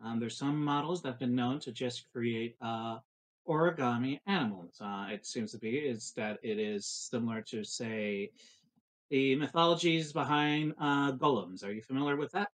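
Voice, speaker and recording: A man playing a composed, politely attentive female ship doctor in a tabletop roleplay, speaking formally and explaining things in a measured way. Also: medium pitch, lightly acted. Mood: explaining calmly.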